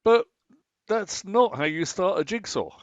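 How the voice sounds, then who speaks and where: A male actor as a grumbling yet affectionate husband in a domestic radio play. His delivery is wry and sarcastic, with very lively intonation. The sound is close and dry, a little dull in fidelity. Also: high voice, measured pace, no room sound. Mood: exasperated. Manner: dry, sardonic, exasperated. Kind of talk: naturalistic radio play acting.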